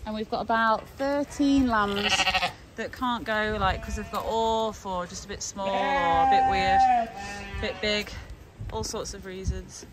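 A woman's voice: mid-range voice, unhurried, very noisy. A woman, a sheep farmer narrating a casual farm vlog.

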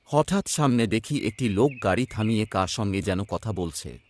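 A man's voice: on the low side, animated, moderate pace, a little hiss or hum, close to an everyday voice. A man playing a poor, worried young village son, narrating his hardship in a scripted cartoon story. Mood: anxious, weary. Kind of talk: first-person scripted horror cartoon narration.

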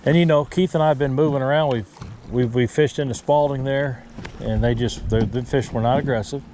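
A man's voice: a little fast, darkish tone. A man chatting casually on a boat outdoors as he hosts a fishing vlog, in a folksy way with short repeated phrases. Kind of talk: casual chat while fishing. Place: outdoors on a boat on a windy lake. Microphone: action camera worn on the body. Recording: wind noise and boat rumble.

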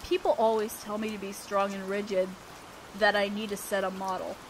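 A woman playing an awkward, earnest teenage girl student council president in a romantic audio roleplay, halting and bashful as she talks. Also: mid-range voice, little pitch movement, background noise, lightly acted. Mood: unsure, vulnerable. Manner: halting, bashful, breaking off mid-sentence. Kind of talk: romantic audio roleplay acting, one-sided dialogue. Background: rain sounds.

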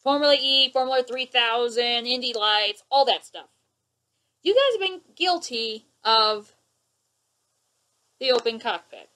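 A woman's voice: a little high, lively intonation, unhurried, pauses often, sharp tone, poor audio. A young woman ranting at her webcam in her living room, indignant and sarcastic, waving her hands as she talks. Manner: indignant, sarcastic, gestures a lot. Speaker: vlogger. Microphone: webcam at close range.

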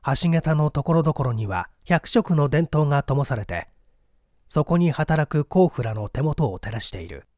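A man's voice: on the high side, animated, moderate pace, a little mellow, dry recording, clean studio sound. A man reading a literary story as a dramatic scripted narration. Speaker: audiobook narrator, stage performer.

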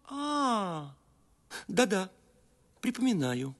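Animated film prince: sly and self-important, husky voice, very animated intonation, mock-gracious manner. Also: male voice, very high-pitched, extremely slow, heavily acted. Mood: evasive. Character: sly, pompous, greedy prince of Kiev, grown man. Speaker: voice actor.

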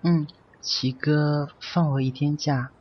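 An actor playing a gentle, fragile, despairing young man in an audio drama, soft-spoken and resigned. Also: on the high side, steady tone, slow, husky, highs cut off.

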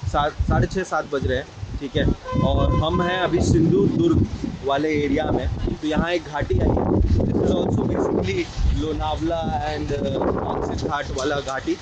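Indian man vlogging over clear background noise. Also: on the high side, steady tone, measured pace, dull audio. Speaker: travel vlogger. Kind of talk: casual vlog chat mixing Hindi and English. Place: inside a car and on a rainy roadside. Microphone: camera at arm's length or on dashboard. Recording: noisy vehicle and outdoor ambience.

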